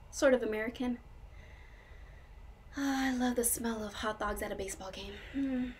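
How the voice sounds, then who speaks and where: A woman acting a whimsical, dreamy, high-voiced woman. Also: darkish tone, slight background noise, lightly acted. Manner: quirky, chatty, confiding, effusive. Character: whimsical, dreamy, melancholy woman, swinging between joy and sadness. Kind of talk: comedic stage monologue.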